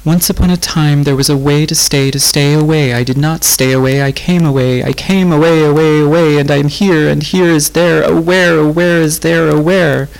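A man voicing a lonely little girl who sings to herself in the dark in a high, wavering sing-song. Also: steady tone, normal speed, creaky, few pauses, rough recording. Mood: wailing.

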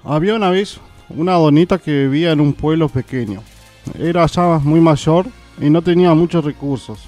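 A man's voice: on the high side, normal speed, mellow, soft background music. A man reading scripted narration aloud as a narrator.

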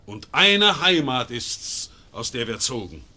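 An actor playing a stern confederate leader in a classic German radio play, speaking in declamatory verse that rises to fervent oratory. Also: male voice, mid-range voice, sing-song, slow, over a noisy crowd. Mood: solemn. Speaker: Swiss confederate leader addressing the assembly.

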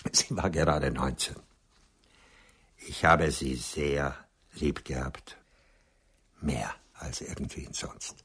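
An actor playing a melancholy older male writer in a radio play, confessing bitterly in a halting, resigned way, his breathy voice sinking toward a whisper. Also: rumbling low, fairly even intonation, a bit bright, full character voice. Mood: grieving, subdued.

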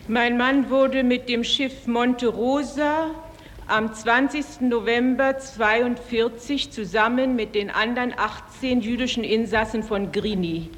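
A woman giving measured courtroom testimony in an archival recording. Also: on the high side. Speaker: trial witness. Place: large courtroom. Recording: reverberant archival courtroom, faint noise.

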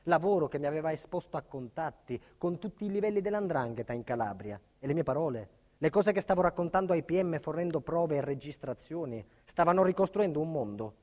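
A man's voice: on the high side, expressive, fairly quick, few pauses, a little mellow, natural voice. An actor playing an earnest, embattled businessman telling his life in a theatrical monologue, with emphatic, dramatic phrasing. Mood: indignant, grave. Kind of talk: theatrical first-person monologue. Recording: reverberant theatre hall recording.

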